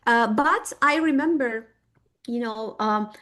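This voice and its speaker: A woman's voice: fairly high pitch, slow, sharp tone. A middle-aged woman from Turkey, a native Turkish speaker, speaking as a guest historian in a podcast interview, thoughtful and searching for words with frequent fillers.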